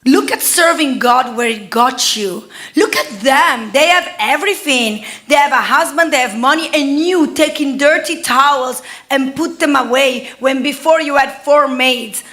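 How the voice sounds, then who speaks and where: A woman's voice: sits high, a little slow, hardly any pauses, a bit bright. A woman voicing a devil who taunts her with mocking pity. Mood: taunting. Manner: sneering, pitying taunts.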